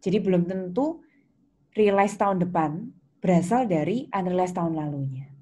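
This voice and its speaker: Female Indonesian lecturer, pitch a touch low, conversational explanatory delivery, often turning to the students with questions. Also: expressive, normal speed, warm tone, dull audio. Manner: conversational, checks understanding with questions. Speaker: accounting lecturer.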